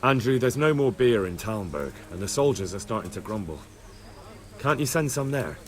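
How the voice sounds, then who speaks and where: Earnest young medieval man-at-arms, lively intonation. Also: on the low side, normal speed. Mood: shrewd, pressing. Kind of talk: video game dialogue acting.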